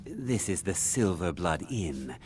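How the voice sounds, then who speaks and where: A man's voice: deep voice, very animated, takes it slowly, heavily acted. A man playing a matter-of-fact innkeeper in a fantasy video game. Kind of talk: video game character dialogue. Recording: faint music behind the voice.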